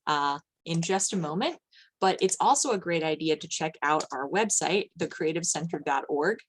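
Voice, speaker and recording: A woman's voice: lowish voice, slight background noise. A woman hosting an online event, giving an informal, effusive welcome to the audience. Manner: effusive, greeting people by name. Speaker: event host, arts program manager. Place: office, on a video call.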